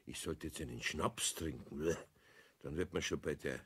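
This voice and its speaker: Grumpy, raspy older Bavarian craftsman. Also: rumbling low, normal speed, heavily acted. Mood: weary, suffering. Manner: groaning, weary. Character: grumpy, suffering hungover older craftsman, good-natured. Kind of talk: children's radio play acting.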